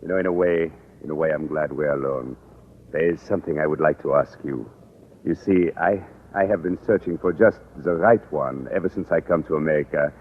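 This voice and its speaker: A man's voice: rumbling low, brisk. An actor playing an amorous, earnest middle-aged Frenchman with a French accent and broken English, in radio sitcom style. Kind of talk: 1950s radio sitcom acting. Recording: tinny hissy old radio broadcast.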